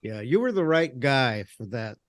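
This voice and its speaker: A man's voice: mid-range voice, very animated, moderate pace. A man hosting an interview, asking questions and encouraging his guest in casual chat. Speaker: podcast host.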